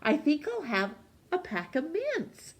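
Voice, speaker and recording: A woman voicing a lonely, courteous storybook gentleman who speaks with polite, earnest wonder. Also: high voice, sing-song, slow, voice pushed up, heavily acted. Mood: polite, friendly. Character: shy, lonely man turning cheerful and courteous. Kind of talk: storybook character voice.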